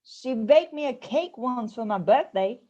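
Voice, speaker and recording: A sharp-tongued ghost of a mother-in-law acted by a woman, with a fairly high voice. She is dry and grudging in this radio play, and the audio is a little dull. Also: animated, a little slow. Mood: sour, grudging. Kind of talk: amateur radio play acting.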